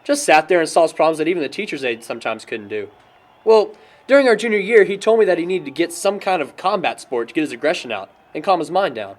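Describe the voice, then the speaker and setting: A man's voice: fairly high pitch, animated, fast talker, lightly acted. A young American man acting a sombre friend telling stories in conversational film dialogue. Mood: reflective. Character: sombre young friend recounting a classmate's tragic downfall.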